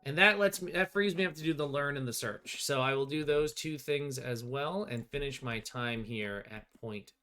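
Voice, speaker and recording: A man's voice: mid-range voice, moderate pace, hardly any pauses, pushed and bright. A middle-aged man gives casual, wry play-through commentary on a solo tabletop game, thinking aloud as he goes. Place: small indoor room with bookshelves.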